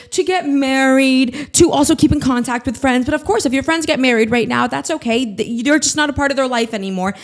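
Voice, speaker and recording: A woman in her twenties hosting her own podcast, ranting in a scattered, self-mocking way and swearing freely. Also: medium pitch. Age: 20s. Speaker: podcast host. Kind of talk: casual solo podcast monologue.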